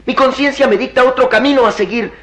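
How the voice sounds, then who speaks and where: Very high-voiced, tormented young doctor. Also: male voice, fairly bright tone. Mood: remorseful, resolute. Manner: halting, dramatic, anguished delivery. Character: guilt-ridden male doctor made youthful, tormented and earnest. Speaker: radio drama actor. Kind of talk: melodramatic radio drama acting.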